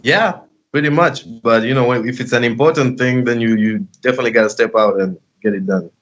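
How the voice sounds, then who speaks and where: A man's voice: mid-range voice, brisk, fairly bright tone. Young Tanzanian-raised man chatting casually. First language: Sinhalese and Swahili. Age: 20s. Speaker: guest, bilingual rapper. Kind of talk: casual interview chat.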